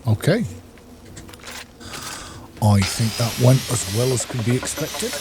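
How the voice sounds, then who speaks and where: A man's voice: medium pitch, animated, slow pace, noisy background. A man giving casual, understated gameplay commentary, half talking to himself as he plays. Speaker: gaming video host. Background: game music.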